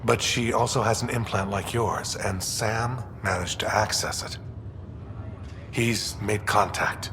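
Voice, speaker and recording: A man's voice: fairly even intonation, a little slow. A man playing an easygoing, caring ship's doctor in a video game, informal, hesitant and reassuring. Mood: cautious. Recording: reverberant, faint rumbling noise.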